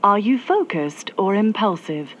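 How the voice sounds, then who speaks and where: An actress playing a calm female mission handler, speaking measured instructions to an agent over an earpiece. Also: mid-range voice, muffled recording, a little hiss or hum. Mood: composed. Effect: earpiece radio.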